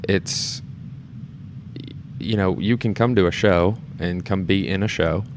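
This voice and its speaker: A man's voice: deep voice, measured pace. A man speaking as a podcast guest in an informal, earnest way, recorded in a shared studio space in a theater. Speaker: podcast guest, theater performer and board member. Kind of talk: casual podcast conversation. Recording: clear background noise.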